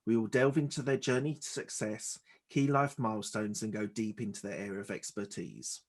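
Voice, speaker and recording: A man's voice: on the low side, husky. A man hosting a business podcast, asking questions with many hesitations and repeated words. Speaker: podcast host. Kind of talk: interview podcast.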